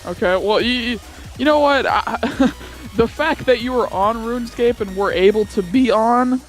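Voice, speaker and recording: A man's voice: very high voice, measured pace, soft background music. A young man chatting casually as he tells a gaming story, giggly and rambling, often repeating himself. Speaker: gamer telling a story.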